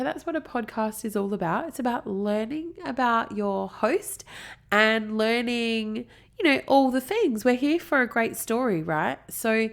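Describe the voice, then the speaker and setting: A woman's voice: on the high side, vocal fry. An upbeat, chatty Australian woman in her thirties from South Australia with a blocked nose, hosting a solo podcast and wandering into friendly digressions. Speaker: podcast host. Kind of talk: solo marketing podcast talk.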